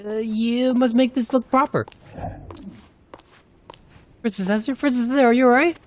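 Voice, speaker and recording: A woman voicing an anxious, flustered attendant in a fantasy game, speaking in hurried, stammering exclamations. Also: a little high, close to an everyday voice. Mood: flustered, urgent.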